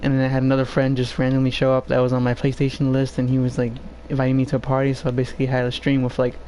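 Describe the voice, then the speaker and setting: A shy male streamer with a low voice, talking in an even, flat tone. He hesitates and fills gaps with "you know" and "like". A home desk microphone picks him up over a faint hiss. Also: rapid-fire, rough recording. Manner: soft-spoken, shy and hesitant, many fillers. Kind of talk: casual gameplay stream chat.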